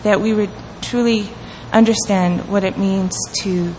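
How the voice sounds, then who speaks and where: A woman's voice: mid-range voice, steady tone, measured pace, dark tone. A woman earnestly reading scripture and leading a prayer in a church. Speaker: scripture reader and prayer leader. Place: church sanctuary. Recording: church hall reverb with faint room noise.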